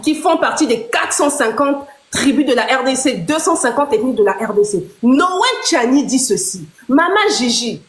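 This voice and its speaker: Woman debate host, high voice, firm interruptions, roomy echo. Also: lively intonation, normal speed, few pauses. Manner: interrupts firmly, explains point by point. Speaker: live show host. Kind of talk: moderating a heated debate.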